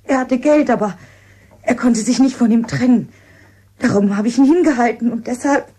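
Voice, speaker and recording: A woman's voice: a little high, normal speed, dark tone, a little hiss or hum, natural voice. An actress playing a guilt-ridden, calculating village woman who confides hesitantly in a radio crime drama. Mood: guilty, hesitant.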